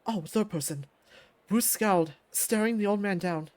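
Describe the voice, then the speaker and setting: A man reading a visual novel aloud as its narrator, in an expressive storytelling style. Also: high voice, moderate pace, dry recording.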